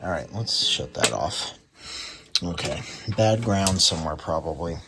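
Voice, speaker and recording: A man with a deep voice talks casually through a hands-on repair. He is plainspoken and slightly grumbling, and music from a radio plays clearly behind him. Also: animated, unhurried. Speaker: radio repair hobbyist, channel host. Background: music from a radio being repaired.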